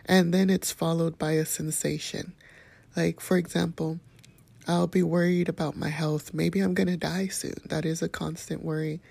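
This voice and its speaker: A calm, soft-spoken woman hosting a podcast, sharing a reflective personal monologue in a hushed, whispery way. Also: low-pitched, normal speed, vocal fry, slightly rough, dry recording. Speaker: podcast host.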